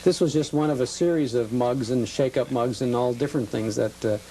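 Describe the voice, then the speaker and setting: A male TV host with a mellow, warm tone and a gravelly edge. He asks curious, prompting questions and often hesitates with small fillers. Faint background noise runs under him. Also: mid-range voice, a little fast, rough recording. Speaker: TV interview host. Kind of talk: conversational TV interview.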